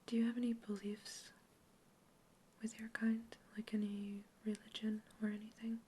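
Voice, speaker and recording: Softly whispering woman, flat delivery. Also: medium pitch, moderate pace, a little hiss or hum. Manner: soft unvoiced whispering, gentle questions. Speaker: ASMR artist playing an examiner. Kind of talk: whispered sci-fi ASMR roleplay.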